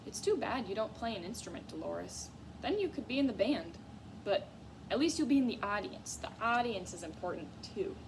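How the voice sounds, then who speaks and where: A woman's voice: medium pitch, sing-song, moderate pace, recorded from a distance. A woman voicing a chatty, well-meaning but tactless young male mouse in a storybook, with upbeat emphasis. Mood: breezy. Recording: clear background noise.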